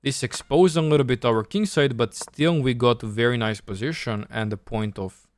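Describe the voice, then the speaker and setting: A man's voice: medium pitch, moderate pace, a little hiss or hum. A man from Serbia with a Serbian accent, thinking aloud and explaining step by step as he coaches on a live chess stream.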